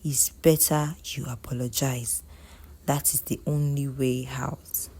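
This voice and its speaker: Woman playing a hushed, grave Nigerian grandmother spiritualist. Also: rumbling low, fairly even intonation, takes it slowly, breathy edge, pitched below the performer's own voice, exaggerated voice. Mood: grave warning. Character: stern elderly grandmother spiritualist, grave and admonishing.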